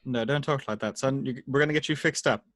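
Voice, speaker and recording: A man playing a grave, fatherly space officer with a solemn, deliberate manner, in an amateur script read. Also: mid-range voice, fairly bright tone, dry recording, clean studio sound, lightly acted. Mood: worried, comforting. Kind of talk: amateur screenplay table read.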